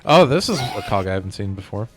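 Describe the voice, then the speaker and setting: A man's voice: on the low side, big pitch swings, fast. A man giving casual gameplay commentary as a streamer, with game music behind him.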